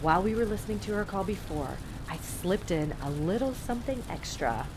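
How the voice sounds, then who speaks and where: A woman playing a quick-witted young surveillance agent in an audio drama, brisk and wisecracking. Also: mid-range voice, lively intonation, lightly acted. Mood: cheeky, brisk. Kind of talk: scripted audio drama acting. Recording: clear noisy ambience behind voice.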